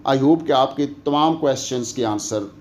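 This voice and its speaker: A male lecturer with a Pakistani accent explains in a didactic manner at a medium pitch. He moves between his own language and English, slowing on the English phrases. There is faint noise behind the voice. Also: a little mellow. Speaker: law lecturer.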